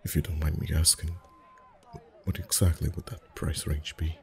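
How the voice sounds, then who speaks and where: A man playing a friendly, chatty, gently flirtatious festival face painter in a soft-spoken ASMR roleplay, with a gentle, rambling manner. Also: rumbling low, level tone, normal speed, creaky. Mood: warm, attentive. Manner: gentle, rambling, softly chuckling. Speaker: ASMR voice actor.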